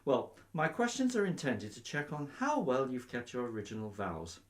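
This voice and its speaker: A male actor playing a breezy, nosy, officious vicar in a radio comedy, briskly polite and openly biased. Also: medium pitch, sing-song, natural voice. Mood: probing. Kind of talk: radio play comedy acting.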